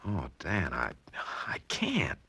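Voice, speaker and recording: A man's voice: low voice, huge pitch swings, takes it slowly, sharp tone, slightly rough, exaggerated voice. A man acting as a skeptical, easily frustrated heir in 1940s radio style, talking in a casual, hesitant, slangy way. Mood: doubtful.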